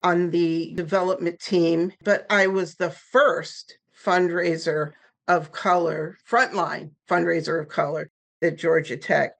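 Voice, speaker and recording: A woman in her sixties, a guest on a podcast interview, telling her story in a candid, lively way; German was her first language. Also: fairly low pitch, slow pace, vocal fry. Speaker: guest, nonprofit founder.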